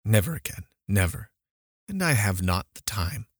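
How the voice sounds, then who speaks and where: A man's voice: big pitch swings, normal speed, husky, no echo, studio quality. A man reading a story aloud, voicing a fretful, petulant mother in a raised, complaining voice. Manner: complaining, indignant, raised pitch.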